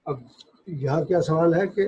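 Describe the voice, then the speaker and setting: A man with a Pakistani accent giving an explanatory law lecture, reading passages out deliberately, phrase by phrase, and explaining them. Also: on the high side, mellow, husky. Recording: dull, lo-fi audio.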